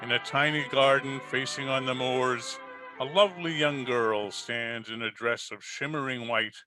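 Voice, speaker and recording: A man's voice: medium pitch, moderate pace, bright, forceful tone, faint music behind, rough recording. A man delivering scripted narration as an old-time radio host, formal and theatrical as he presents a play.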